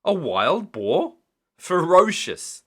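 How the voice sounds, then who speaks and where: Man playing an older lighthouse keeper, storybook character, blustery, stubborn, bright voice, swooping pitch. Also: very animated, very slow, breathy edge, full character voice. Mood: panicked. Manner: exclaiming, spluttering, grumbling.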